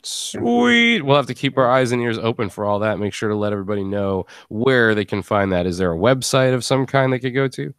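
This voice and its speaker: Clear-voiced man hosting a casual podcast. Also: mid-range voice, very animated, a little fast, few pauses, fairly bright tone, no room sound, studio quality. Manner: jokey, informal, laughs often. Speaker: podcast host. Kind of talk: casual group chat, wrapping up a show.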